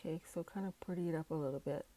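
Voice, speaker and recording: Low, raspy woman with a cold. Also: warm tone, low-quality recording. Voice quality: raspy, froggy voice. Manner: unhurried, thinking aloud with frequent okays and uhs. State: hoarse from a cold. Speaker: digital planner video creator. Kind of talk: casual talk-through while decorating a planner. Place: indoors at a tabletop, hands working an overhead-filmed tablet.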